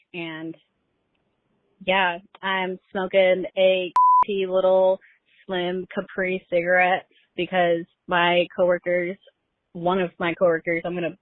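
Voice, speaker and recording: A woman's voice: medium pitch, no echo. A woman caller leaving a casual, rambling and gushing voicemail over the phone. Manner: effusive, gushing. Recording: dry, muffled lo-fi phone voicemail.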